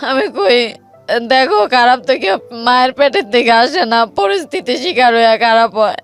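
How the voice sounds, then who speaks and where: A woman with a high voice and a Bangladeshi accent shares her personal story. She speaks in plain colloquial words, acting out past conversations with feeling. Faint music plays behind her. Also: animated, moderate pace. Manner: plain colloquial speech, reenacting quoted exchanges. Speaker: guest telling her life story.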